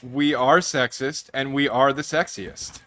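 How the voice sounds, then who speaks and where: A man's voice: medium pitch, fairly even intonation, a little slow, bright, forceful tone. A man co-hosting a casual podcast, tossing in wry comebacks. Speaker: podcast co-host.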